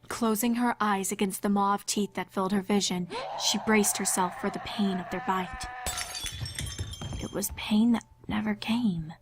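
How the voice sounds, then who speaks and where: A woman's voice: medium pitch, slight background noise. A woman narrating a scripted horror fan fiction story.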